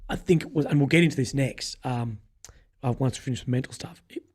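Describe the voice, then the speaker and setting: A young man with a croaky, crackly voice, hosting a podcast as casual, blunt chat full of swearing. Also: mid-range voice, quick pace. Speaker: podcast host.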